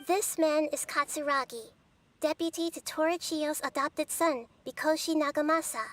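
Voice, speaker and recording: A wise young goddess speaks in a soft, measured game voice. Her pitch sits high for a woman, and she keeps an even, gentle calm as she guides and explains. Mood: explaining. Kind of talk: game character dialogue.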